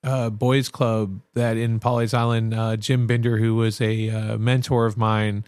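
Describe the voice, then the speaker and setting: A man's voice: lowish voice, measured pace, smooth, no echo, studio quality. A man who is a chef and restaurant owner, telling stories animatedly in a casual interview. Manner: animated storyteller, many restarts.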